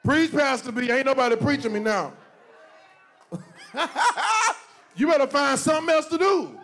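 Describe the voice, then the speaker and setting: A middle-aged pastor with a strikingly high voice for a man, speaking to his church from the stage on a handheld mic. He talks in a joking, preachy, animated way, punching lines with loud bursts. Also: normal speed. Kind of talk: preachy conversational talk to a congregation. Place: church stage before a congregation, indoors.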